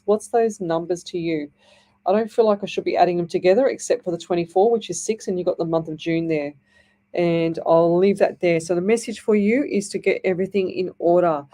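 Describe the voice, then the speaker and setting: Woman, psychic radio host, mellow tone, loose and rambling delivery. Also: medium pitch. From Australia. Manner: rambling, self-interrupting, many fillers. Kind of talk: live psychic reading, casual chat.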